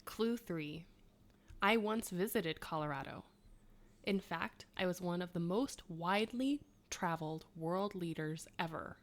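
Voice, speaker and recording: A woman's voice: fairly low pitch, a little slow. A woman in her thirties co-hosting a podcast, reading quiz clues and chatting, laughing often. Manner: chatty, laughs often, self-mocking asides. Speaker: podcast co-host.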